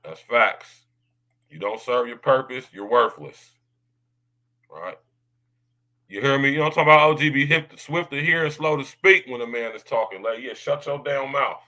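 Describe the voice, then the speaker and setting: A man hosting a podcast, talking in a loud, drawling way with lots of slang and tag questions, in a deep baritone. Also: moderate pace. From United States. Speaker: podcast host. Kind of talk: casual podcast monologue.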